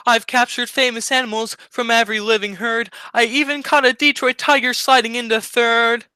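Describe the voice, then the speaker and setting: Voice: mid-range voice, fairly even intonation, normal speed, sharp tone, smooth, close to an everyday voice. An actor playing a hapless, boastful, childlike man in a 1940s-style radio comedy, exclaiming and whining.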